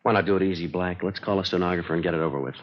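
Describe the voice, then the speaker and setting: An American actor playing a terse, businesslike police detective sergeant, narrating in a flat, matter-of-fact way in a vintage radio crime drama. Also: male voice, deep voice, racing, lightly acted. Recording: dull vintage radio recording, loud music.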